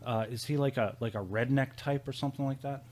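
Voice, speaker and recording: A man's voice: mid-range voice, fairly even intonation, fast. A man hosting a podcast in a loose, joking, unscripted way, rambling through his thoughts. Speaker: podcast host. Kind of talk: casual, unscripted comic chat. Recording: clearly noisy background.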